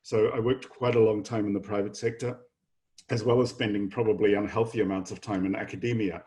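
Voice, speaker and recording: A middle-aged man from New Zealand, a professor, giving a measured, earnest welcome address to new students in an online session. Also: fairly low pitch, fairly even intonation, warm tone. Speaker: professor and incoming programme director. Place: home office, online session.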